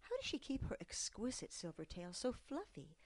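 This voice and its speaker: A woman voicing a gentle mother bear who speaks softly, calmly and without hurry in a storybook. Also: fairly high pitch, husky, no room sound, voice pushed up, full character voice. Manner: soft, calm and unhurried. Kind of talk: storybook character voice.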